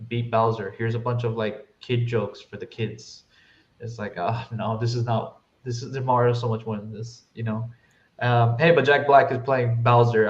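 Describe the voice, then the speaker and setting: A man hosting a podcast, chatting informally and giving his opinions on films. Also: mid-range voice. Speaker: podcast host. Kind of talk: casual film review chat. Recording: dull lo-fi mic, roomy echo.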